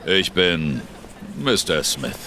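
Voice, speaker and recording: Deep-voiced smug, menacing gentleman. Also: big pitch swings, slow, a little hiss or hum, full character voice. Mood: smug, threatening. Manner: cool, condescending. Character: smug, menacing gentleman bluffing past a policeman. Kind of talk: radio drama acting.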